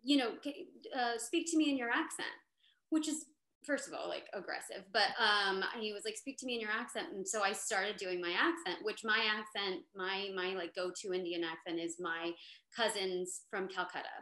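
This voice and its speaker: Woman, 30s, animated panel guest, mid-pitched voice, echoey room sound. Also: normal speed. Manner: animated, chatty, many fillers and restarts. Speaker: actor on a panel. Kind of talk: casual panel storytelling. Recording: roomy echo.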